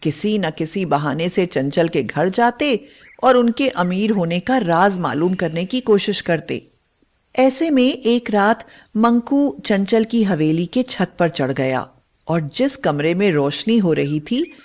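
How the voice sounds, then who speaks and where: A woman narrating a scripted moral story in an expressive, deliberate storytelling manner. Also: fairly low pitch.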